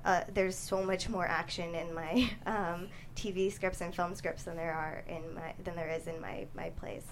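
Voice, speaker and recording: A young woman answering interview questions as a guest writer, in a halting, thoughtful way. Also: lowish voice. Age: young adult. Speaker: guest screenwriter and playwright. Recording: steady background noise.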